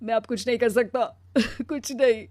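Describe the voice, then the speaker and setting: Overworked cartoon husband female performer: high-pitched, mild, plaintive and anxious, very dry close sound. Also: expressive, normal speed, no echo, natural voice. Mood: distraught. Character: weary, put-upon working husband, mild and anxious. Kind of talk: animated cartoon story dialogue.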